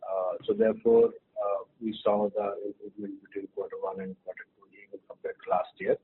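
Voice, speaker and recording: A man giving halting, careful answers as an executive on an earnings call. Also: lowish voice, little pitch movement, breathy edge. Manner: halting, hesitant, careful delivery. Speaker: company executive. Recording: noisy, tinny phone line.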